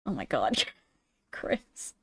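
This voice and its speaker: Hoarse woman streamer, swearing freely. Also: drawn-out words, sharp tone. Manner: blunt, frequent swearing, rambling. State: scratchy, strained voice. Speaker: gaming streamer. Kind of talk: casual gameplay commentary. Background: game music.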